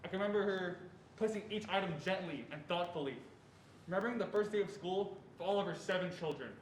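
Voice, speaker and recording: A young man performing as an adult man who earnestly recalls his fearful boyhood in a deliberate stage reading. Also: sits high, natural voice. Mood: earnest, reflective. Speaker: student performer reading as narrator. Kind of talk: reader's theatre narration of a first-person memoir. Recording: echoey room with faint noise.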